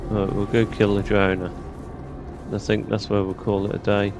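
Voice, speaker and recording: A man's voice: fairly low pitch, completely flat delivery, normal speed, over music. A British man doing casual gameplay commentary, chatty and informal as he muses to himself. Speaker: gaming host.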